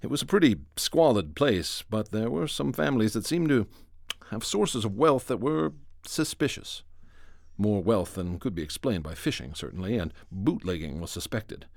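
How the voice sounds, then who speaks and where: Scholarly professor, low-pitched, dry sound, measured, discreet, hesitant, radio drama interview. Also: male voice, expressive, vocal fry, a little mellow, no echo. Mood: guarded, grave. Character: reserved, scholarly male archaeology professor.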